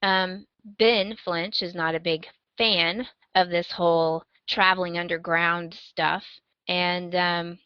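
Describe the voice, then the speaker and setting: A woman's voice: fairly low pitch, steady tone, slow pace, hardly any pauses, smooth, no room sound, lo-fi sound. A woman co-hosting a podcast, chatting casually in a conversational, wry and emphatic way as she gives recommendations. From United States. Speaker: podcast co-host.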